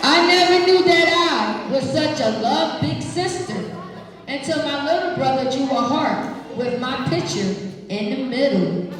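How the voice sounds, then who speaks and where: A woman, an energetic children's author reading aloud live to a school audience, projecting and engaging listeners with questions. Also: sits high, a little slow, noisy background. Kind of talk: live read-aloud, call-and-response with children. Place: large echoey room at an elementary school. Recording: very echoey hall, distant mic.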